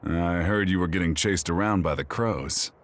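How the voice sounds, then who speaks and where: An actor playing a commanding, worldly major general in an anime game, measured and authoritative. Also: male voice, deep voice, close to an everyday voice. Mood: confident, approving.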